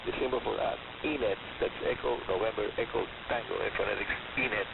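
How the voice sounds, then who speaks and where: A man hosting a scripted technical radio program in a deliberate, emphatic way, heard over shortwave. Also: fairly low pitch, fairly even intonation, gravelly, narrow, tinny audio. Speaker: radio host. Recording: hissy, noisy shortwave radio reception. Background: radio static and hiss.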